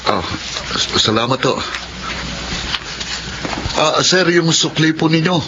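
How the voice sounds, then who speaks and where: A man's voice: fairly high pitch, expressive, slow pace, noisy background. A man narrating and playing a polite, humble young tricycle driver who supports his siblings. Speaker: narrator and lead actor. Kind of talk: 1970s-style radio drama acting. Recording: dull lo-fi radio recording with music.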